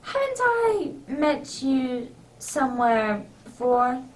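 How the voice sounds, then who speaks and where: A woman playing a genial English gentleman doctor who acts as Santa Claus in an amateur radio drama. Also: high-pitched, very animated, slow pace, exaggerated voice. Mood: hospitable. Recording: distant microphone, background noise.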